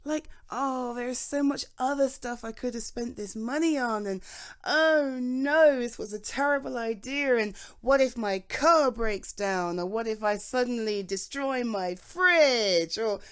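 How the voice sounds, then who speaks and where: A young British woman speaking to a friend in an intimate ASMR roleplay, chatty and reassuring, with frequent soft laughs. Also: fairly high pitch, measured pace, clean tone. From Britain.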